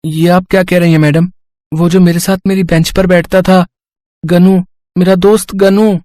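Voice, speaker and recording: A man's voice: high voice, little pitch movement, normal speed, darkish tone, dry recording, lightly acted. A man playing a wayward but sincere, loyal schoolboy in a Hindi moral story, with an earnest, emotional delivery.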